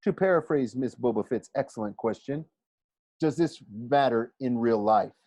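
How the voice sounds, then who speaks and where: A man's voice: medium pitch, normal speed, a little mellow, no echo, natural voice. A man reading a storybook as a dignified male teacher, formal, questioning and patiently stern. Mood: pointed.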